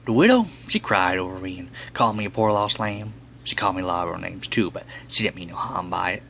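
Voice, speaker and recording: A man's voice: medium pitch, fairly bright tone, a little hiss or hum. A man performing a dramatic monologue as a folksy, plain-spoken boy. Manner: drawling, folksy, ungrammatical storytelling.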